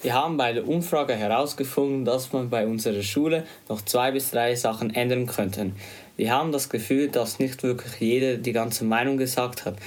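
Male teen reporter, average pitch, scripted school radio delivery. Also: normal speed. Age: teenager. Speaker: student reporter.

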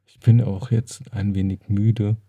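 A man's voice: lowish voice, little pitch movement, unhurried, husky, no echo, phone-quality sound. A man co-hosting a podcast in casual conversation, laid-back and wry, close to the microphone. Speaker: podcast co-host. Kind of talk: casual chat.

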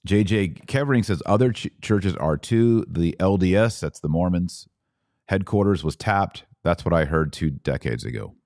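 Deep-voiced man hosting a podcast. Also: animated, normal speed, a little mellow, no room sound, studio quality. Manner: earnest, conversational, rising to indignant emphasis. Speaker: podcast host. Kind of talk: livestream podcast reading viewer comments.

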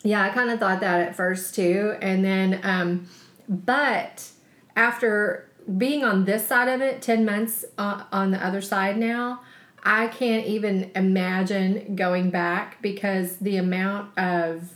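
A woman's voice: mid-range voice, fairly even intonation, slow pace, fairly bright tone. A woman co-hosting a podcast with casual, chatty talk, laughing easily and poking fun at herself. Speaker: podcast co-host, chef. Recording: roomy echo.

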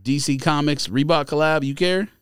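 A man's voice: fairly high pitch, fairly even intonation, moderate pace, a bit bright, clear, resonant tone, no room sound, clean studio sound. A man co-hosting a podcast in casual chat, blunt and teasing in the way he talks. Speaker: podcast co-host.

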